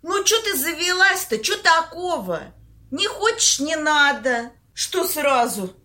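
A woman's voice: high voice, sing-song, takes it slowly, sharp tone, exaggerated voice. A woman acting a brash, cheeky character in an amateur audio play, bantering in a theatrical, colloquial way. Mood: defensive.

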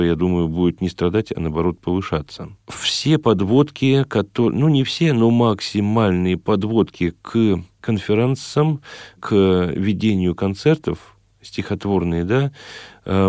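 A man speaking as an interview guest, reflecting on creative work and pausing to search for words. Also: deep voice, a little mellow, dry recording, studio quality. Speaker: guest, chamber singer.

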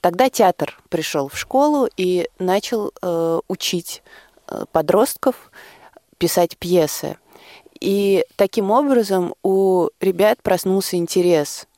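A woman's voice: fairly low pitch, takes it slowly, mellow, dry recording, clean studio sound. A woman speaking as a radio guest, explaining things in interview talk. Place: dry radio studio.

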